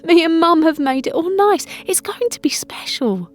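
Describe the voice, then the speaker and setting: A woman's voice: extremely high pitch, expressive, moderate pace, soft background music, pitched above the performer's own voice. A woman acting out a hopeful, imploring young girl begging her father in an audio drama. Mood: pleading. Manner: childlike, imploring.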